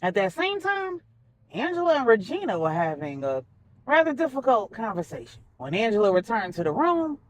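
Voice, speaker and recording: A woman storyteller giving an expressive, dramatic narration of a relationship drama. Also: on the high side, moderate pace, a little hiss or hum. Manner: dramatic, acts out each character's dialogue.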